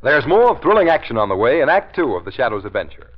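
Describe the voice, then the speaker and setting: A man's voice: mid-range voice, sing-song, quick pace, over loud music. A man announcing a 1930s radio advertisement in an emphatic, salesmanlike way. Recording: muffled 1930s radio recording.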